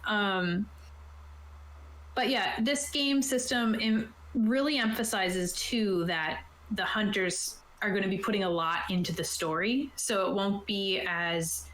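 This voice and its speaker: A woman's voice: medium pitch, measured pace, fairly bright tone. Chatty woman in a roomy, echoey space. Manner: chatty, enthusiastic, thinking aloud. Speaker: game master and show host. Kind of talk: casual chat about tabletop roleplaying. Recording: roomy echo, faint background noise.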